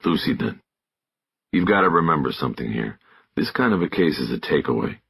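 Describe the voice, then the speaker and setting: A man's voice: rumbling low, very animated, brisk, gravelly, a bit bright, husky, dry recording, studio quality, heavily acted. A man voicing a seasoned, plain-spoken police detective, a firm and encouraging mentor, in audiobook dialogue. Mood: firm advising.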